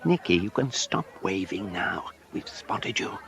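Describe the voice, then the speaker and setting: An actor playing an urbane, wry gentleman who takes charge, in a British comic radio play. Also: low voice, big pitch swings, a little slow, gravelly, exaggerated voice. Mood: composed, wry.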